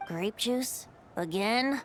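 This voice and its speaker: Teasing young female, fairly high. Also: animated, extremely slow, a little hiss or hum. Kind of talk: video game voice acting. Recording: music clearly under the voice.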